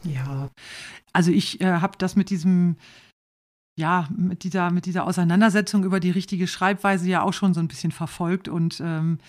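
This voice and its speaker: A woman's voice: on the low side, fairly even intonation, moderate pace. A woman in her forties interviewing a guest, engaged and friendly, often restarting her sentences. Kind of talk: conversational interview.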